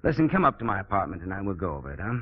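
A brisk, commanding theatre director in a 1940s radio drama. The voice sits fairly low and swoops widely, wry and exasperated with kindness underneath. The broadcast sound is dull and muffled. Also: male voice, very animated, husky, full character voice. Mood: placating.

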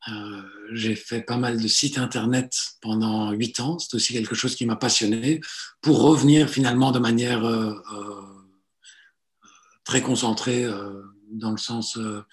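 A man in his forties, a psychotherapist and coach, telling his life story in a relaxed interview, hesitant with many fillers and restarts. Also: medium pitch, unhurried. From Italy. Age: 40s.

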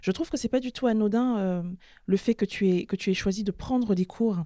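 A woman hosting a podcast, leading a casual interview with quick, engaged interjections. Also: mid-range voice, warm tone, no room sound, studio quality. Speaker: podcast host.

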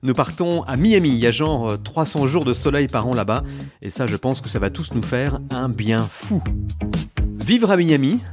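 A man hosts a podcast in a bright, conversational way, keeping things informal and upbeat. His voice sits at an ordinary middle pitch, and soft music plays behind him. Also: moderate pace. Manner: lively, chatty and informal. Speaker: podcast host.

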